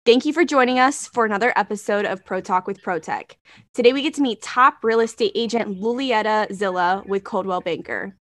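A woman's voice: medium pitch, fairly quick, a bit bright. Young woman hosting an interview. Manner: friendly, frequent "mm-hmm, absolutely". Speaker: interview host. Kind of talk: scripted interview questions.

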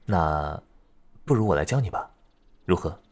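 A man playing a gentle, caring young village teacher of ancient China in a period romance audio drama, tender, patient and unhurried. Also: low voice, animated.

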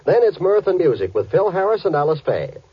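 A man's voice: on the high side, sing-song, fairly quick, husky, no echo. A man reading a showy, emphatic 1950s radio network promo. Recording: dry, lo-fi old radio broadcast.

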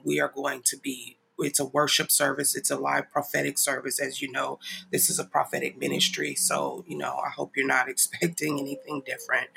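Nasal, gravelly-voiced male livestream minister. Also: medium pitch, bright, forceful tone, slight background noise, rough recording. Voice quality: nasal, stuffy. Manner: informal, apologetic, chuckling asides. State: congested from a stuffy nose. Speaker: online prayer ministry leader and host. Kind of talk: casual livestream devotional talk to followers.

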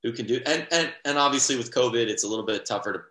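Young male podcast co-host, rambling sports chatter, bright tone, echoey room. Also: medium pitch, racing. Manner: rambling, lots of restarts and fillers. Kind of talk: casual sports podcast chat.

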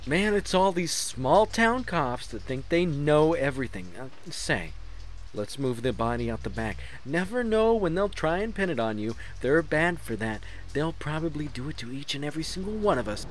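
Man as conceited hack author, highish voice, swooping intonation, pompous, blustering, comedic audio drama. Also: animated, normal speed, natural voice. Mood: smug. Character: conceited, defensive hack author, childish and lazy. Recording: noisy amateur mix, faint hiss.

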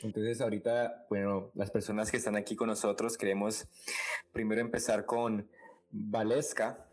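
A man co-hosting a podcast, introducing guests and asking questions with frequent pauses and fillers. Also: lowish voice, level tone, a little mellow. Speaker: podcast co-host. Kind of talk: casual podcast chat.

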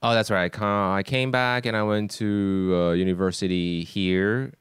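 Deep-voiced man, relaxed and hesitant. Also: moderate pace, clean tone, no room sound, clean studio sound. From Japan. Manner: relaxed, hesitant, many fillers. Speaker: sound engineer filling in as co-host. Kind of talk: casual podcast chat.

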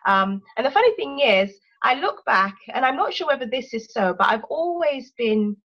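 Interview guest: soft-spoken English woman, higher voice, reflective and unhurried, lo-fi remote audio. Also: very animated. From United Kingdom. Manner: thoughtful, with many "you know" fillers.

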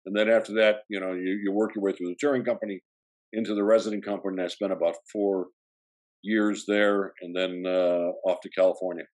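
A man's voice: low-pitched, little pitch movement, a little fast, a little mellow. A man with a strong Chicago accent, an actor telling his story in an interview with many fillers and restarts. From south side of Chicago. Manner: rambling, full of "you know" fillers and restarts. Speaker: actor guest.

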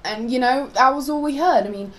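A woman's voice: high-pitched, fairly quick. Young woman from poland, casual opinionated chat about current affairs, kinda rambly, some noise behind her. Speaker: co-host. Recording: noticeable background noise.

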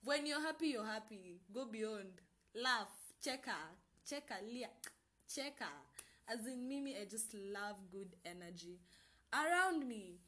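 A woman's voice: a little high, animated, measured pace, fairly bright tone. Kenyan woman, twenties, solo podcast host; bubbly hype-girl energy, code-switching chat. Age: 20s. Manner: bubbly, hyped, mixes Swahili slang into English. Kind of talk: casual solo podcast intro.

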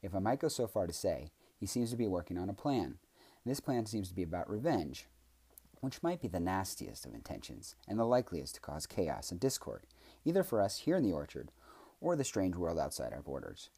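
A thoughtful young tree girl from a storybook who speaks in long, earnest, formal sentences, voiced by a man. Her voice sits low and mellow with a husky grain. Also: big pitch swings, a little fast, full character voice. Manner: earnest, formal, wordy speech.

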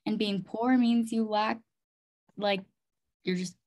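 A woman's voice: mid-range voice, steady tone, slow, darkish tone. Earnest woman telling her personal story. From Chiang Mai, Thailand and North Dakota. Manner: earnest, emphatic, uses "like" and "literally" often. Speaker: interview guest, community development leader and leadership coach.

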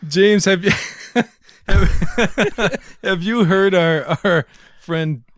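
A man's voice: high voice, extremely slow, dry recording, studio quality. A man co-hosting a podcast in casual chat, joking and often laughing as he talks. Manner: jokey, laughs often while talking. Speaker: podcast co-host.

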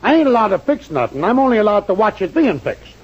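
An actor playing a grudging, slangy working-class plumber's helper in a 1940s radio comedy. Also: male voice, high voice, big pitch swings, fast, a little hiss or hum. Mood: grudging, dismissive. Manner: grudging, dismissive, folksy ungrammatical speech. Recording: dull old radio recording.

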